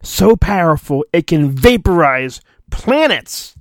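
Slow, theatrical man reading with lively swings. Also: a little high, no room sound, studio quality. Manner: theatrical, self-mocking, often breaks off to mutter. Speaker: podcast host and reader. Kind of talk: mock-dramatic storybook reading with joking asides.

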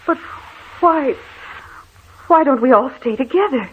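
An actress playing a frightened, anxious wife in an old radio horror drama, fretful and pleading. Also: female voice, high-pitched, lively intonation, unhurried. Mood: alarmed pleading. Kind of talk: vintage radio horror acting. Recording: tinny, hissy old-time radio recording.

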